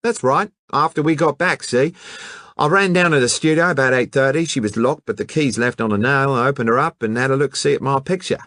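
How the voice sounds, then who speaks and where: A man doing a loud, brash Aussie lad. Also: mid-range voice, brisk, dry recording, clean studio sound, pitched above the performer's own voice, natural voice. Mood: eager. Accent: Australian. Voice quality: broad colloquial slang. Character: brash young male Australian art student.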